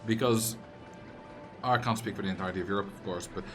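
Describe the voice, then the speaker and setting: A young man with a Dutch accent, a native Dutch speaker, casually commenting on a game during a live stream, reading viewer chat aloud, joking back, and now and then breaking into loud, exasperated rants. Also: deep voice, quick pace, over music. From Netherlands. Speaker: livestreamer.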